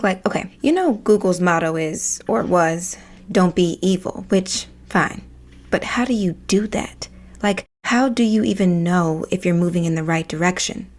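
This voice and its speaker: A woman plays an idealistic startup devotee in an audio comedy drama. Her voice is mid-pitched and natural. She talks casually and earnestly, correcting herself as she goes. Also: darkish tone, natural voice. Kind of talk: conversational dramatic monologue.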